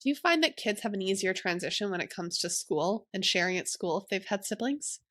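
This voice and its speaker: A woman in her thirties with a mid-range voice reads listener questions aloud on a podcast. She speaks softly and quietly, in a plain, even manner. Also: medium pitch, a little fast. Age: 30s. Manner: soft-spoken, quiet. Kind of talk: casual podcast chat, reading questions.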